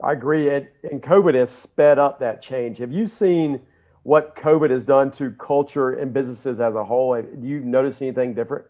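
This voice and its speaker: A man's voice: mid-range voice, steady tone, moderate pace, darkish tone, highs cut off. A man hosting a podcast, asking his guest plain, curious questions. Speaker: podcast host. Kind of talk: casual interview questions.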